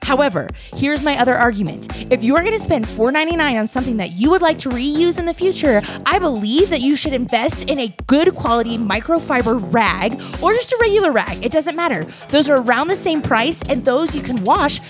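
A woman guest on a podcast, bubbly and excitable, chatting and arguing her side of a friendly debate. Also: sits high, fairly quick, hardly any pauses, music in the background. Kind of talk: casual podcast chat and timed debate.

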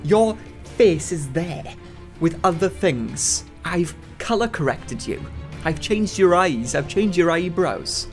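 Young british dude ranting sarcastically, voice a bit high, soft music behind. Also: male voice, lively intonation, slow pace. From United Kingdom. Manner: sarcastic, exasperated. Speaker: commentary YouTuber. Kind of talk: commentary vlog. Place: bedroom studio.

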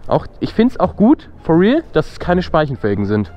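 A young man vlogging casually while riding a motorcycle, excited and slangy, talking straight to his viewers. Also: fairly high pitch, moderate pace, muffled recording, soft background music. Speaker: motovlogger. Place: outdoors on a motorcycle, city streets and open road. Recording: wind and engine noise.

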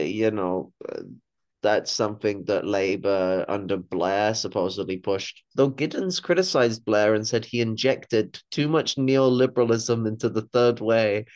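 A man's voice: fairly low pitch, darkish tone, smooth, dry recording, dull audio. A young man giving blunt, sweary political commentary in a casual way over a video call. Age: young adult. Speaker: panel participant. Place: indoor room at home. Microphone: video call microphone.

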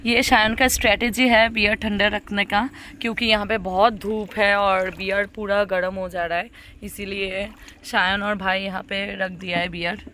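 A woman's voice: medium pitch, level tone, moderate pace. Chatty young woman vlogging on phone. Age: young adult. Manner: chatty, exclaims often. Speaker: travel vlogger. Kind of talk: casual travel vlog narration. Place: hotel room, then outdoor rooftop pool. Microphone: handheld phone at arm's length. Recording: noisy phone audio with ambience.

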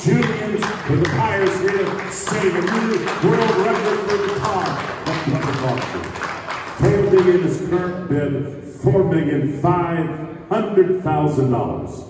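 A man working as an auctioneer, calling out bids in a drawn-out, chanting way, recorded from the audience. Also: high voice, a crowd in the background, background noise. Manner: drawn-out, chanting bid calls. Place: large hall with stage. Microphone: podium mic, filmed from the audience. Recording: echoey hall from far off.

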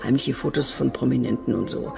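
A woman's voice: a bass voice, brisk, vocal fry, heavily acted. An actress playing an offhand, dismissive woman with a gossipy streak in a German radio crime drama. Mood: offhand, brusque.

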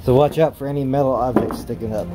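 A man exploring an abandoned barn, giving casual, relaxed commentary on an action camera. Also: mid-range voice, fast, noisy background. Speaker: explorer vlogger.

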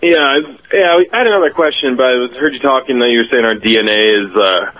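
A male prank caller snickers as he talks to a radio host. His voice has a middling pitch. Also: fast, a bit bright, rough recording.

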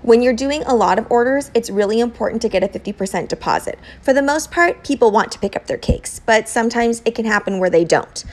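A woman narrating scripted instructional tips as a home baker, explaining informally with clear emphasis on key points. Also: fairly high pitch, animated, quick pace, few pauses.

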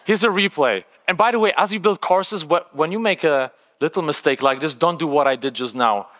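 A man in his thirties from Poland with a Polish accent, giving an energetic, conversational workshop talk on a large conference stage, often tagging lines with "right?". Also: a little high, fairly quick. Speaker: speaker. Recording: long hall reverb.

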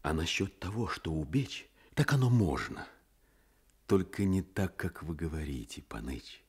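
A humble, anxious prisoner in an old Soviet radio play. His Ukrainian-accented voice sits very low and swings widely with feeling, husky and unhurried. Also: very animated, a little mellow, exaggerated voice. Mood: cautious, hesitant. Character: downtrodden prisoner, a peasant soldier, humble and anxious. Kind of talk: Soviet radio drama acting.

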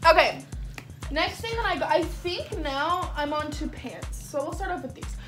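A woman's voice: high voice, lively intonation, moderate pace, a bit bright. A young American woman chatting in casual, slangy speech to the camera in a bedroom, as in a clothing haul vlog. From lives in Las Vegas, United States. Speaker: video blogger. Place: small bedroom, indoors. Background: background music.

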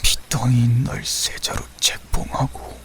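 A man voicing a stern aging Joseon king confronting his son in a historical audio drama, hushed and breathy, breaking into strained cries. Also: medium pitch, monotone, measured pace, slight background noise, natural voice. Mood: grave, hushed. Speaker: voice actor. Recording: with music underneath.

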